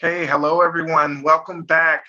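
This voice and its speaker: Halting man hosting an online panel. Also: fairly high pitch, little pitch movement, bright, forceful tone. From Chicago. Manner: halting, many fillers and restarts. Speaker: panel facilitator. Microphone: video call. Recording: dull lo-fi video call audio.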